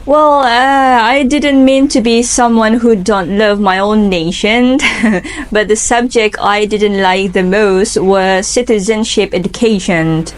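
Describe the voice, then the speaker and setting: A woman in her twenties with an Indonesian accent answering interview questions for an English speaking assignment, earnest and careful, pausing with fillers. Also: medium pitch.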